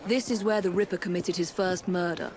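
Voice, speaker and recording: An actress playing a cool, formal, determined young Victorian assassin in a video game drama. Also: female voice, fairly low pitch, steady tone, brisk, close to an everyday voice. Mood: intent, resolute.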